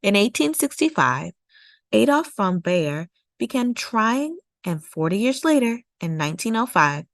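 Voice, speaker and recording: A woman narrating a children's nonfiction picture book as a storytime reader, with measured, deliberate phrasing. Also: medium pitch, big pitch swings, no echo. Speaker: storytime read-aloud host.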